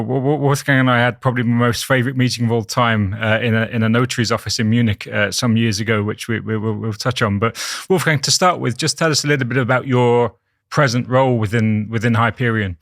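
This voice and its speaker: A man's voice: mid-range voice, fairly even intonation, a little fast, fairly bright tone, no room sound. Man hosting a dry-sounding interview. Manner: stammering restarts, repeated words. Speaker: interview host, company founder. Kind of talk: business interview.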